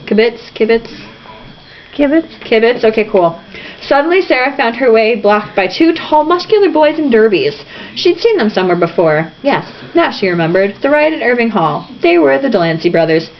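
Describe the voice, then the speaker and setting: Animated woman reading aloud, dull lo-fi sound. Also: a little high, moderate pace. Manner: irreverent, theatrical. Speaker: reader. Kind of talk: informal amateur novel read-aloud with asides. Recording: dull lo-fi home recording, faint noise.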